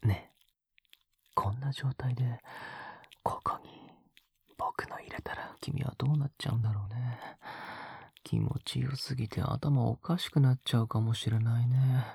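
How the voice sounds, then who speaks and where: A man playing an obsessive young captor in an intimate audio drama, coaxing in a husky whisper with sweetly condescending menace. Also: medium pitch, fairly even intonation, dry recording. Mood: soft menace. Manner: slow, coaxing, condescendingly gentle.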